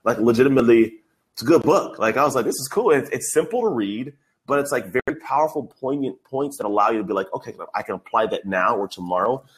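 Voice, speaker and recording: A man's voice: medium pitch, quick pace. A young adult man from the Bay Area hosting a podcast, enthusiastic and slangy with lots of fillers. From Bay Area, USA. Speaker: podcast host. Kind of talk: casual interview chat.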